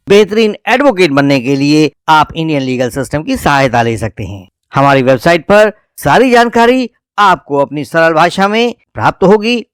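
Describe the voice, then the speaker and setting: Dry-sounding, higher-pitched Indian male narrator. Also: smooth, no echo. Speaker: channel host. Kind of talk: scripted promotional outro narration.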